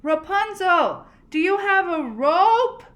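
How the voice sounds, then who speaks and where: A woman voicing a lovesick young prince from a storybook, calling out pleadingly in loud, drawn-out phrases. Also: very high-pitched, lively intonation, pitched above the performer's own voice, full character voice. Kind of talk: storybook read-aloud character voice.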